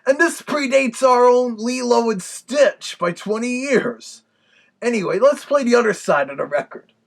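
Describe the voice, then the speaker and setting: A man voicing a cartoon big bad wolf as a chatty, sentimental reviewer, casual and conversational, with a gravelly, husky voice. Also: extremely high pitch, expressive, normal speed, heavily acted. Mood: chatty, amused. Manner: conversational, brief sympathetic interjections. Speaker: reviewer, host. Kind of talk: casual review with reactions to a played record.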